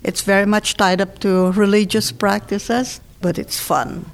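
A woman in her seventies from the Philippines, with a Filipino accent, telling her life story in a measured, reflective way. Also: medium pitch, steady tone. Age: 70s. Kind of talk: oral history personal narration.

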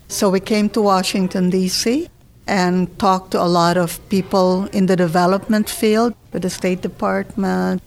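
Older Filipino-accented woman, slightly low voice. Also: a little hiss or hum. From Philippines. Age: 70s. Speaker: interviewee telling life story. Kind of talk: oral history personal narration.

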